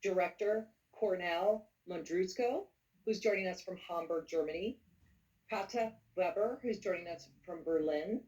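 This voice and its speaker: A woman's voice: lowish voice, measured pace. A woman moderating a panel Q&A, introducing guests and asking questions, affirming with frequent mm-hmms. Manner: warm affirming listener, frequent mm-hmm. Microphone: video call. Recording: distant video call audio.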